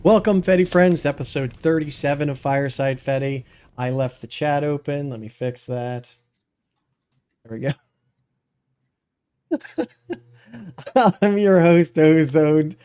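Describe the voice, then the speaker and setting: A man's voice: on the high side, a little slow, pauses often, warm tone, clean tone. A man hosting a show in a casual, informal and enthusiastic way. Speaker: podcast host.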